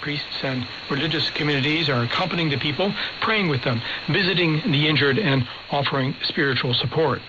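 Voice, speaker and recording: A man's voice: on the high side, a little fast, few pauses. A man reading a scripted radio news report as a reporter. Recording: dull shortwave radio with faint static.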